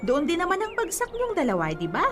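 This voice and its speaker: A woman's voice: very high voice, huge pitch swings, fast, full character voice. A woman playing a sprightly, teasing, fussing Filipino grandmother in a radio drama. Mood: teasing, insistent. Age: older. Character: teasing, sprightly grandmother eager to see her granddaughter married. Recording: music bed under the voice.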